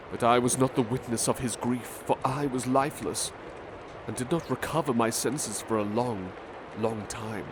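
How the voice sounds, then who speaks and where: A man reading a classic novel aloud softly as a butler in an ASMR roleplay, with measured dramatic delivery. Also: mid-range voice. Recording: steady urban traffic noise behind.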